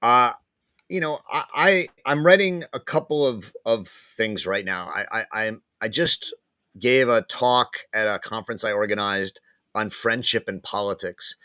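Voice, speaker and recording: A man, a guest professor, talking casually in an interview, pausing and repeating words as he thinks aloud. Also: on the low side, bright, forceful tone, no room sound. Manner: hesitant, many restarts and repeated words.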